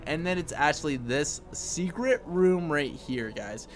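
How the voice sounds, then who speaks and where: A man with a slightly raspy voice giving casual, chatty gameplay commentary as a video host, often circling back with little asides. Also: on the high side, lively intonation, unhurried. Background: game music.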